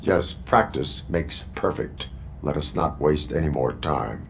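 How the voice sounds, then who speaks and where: Robotic police officer, extremely low voice, creaky, stern, literal, clipped game dialogue. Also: male voice, animated, takes it slowly, slight background noise, heavily acted. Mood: flat, formal. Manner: clipped, formal, stiff, literal delivery. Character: stern cyborg policeman, part man, part machine. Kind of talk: video game voice acting.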